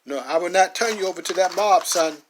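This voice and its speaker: A man voicing an older male bishop who speaks low and gently in a fatherly way. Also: fairly quick, slight background noise, close to an everyday voice. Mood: protective.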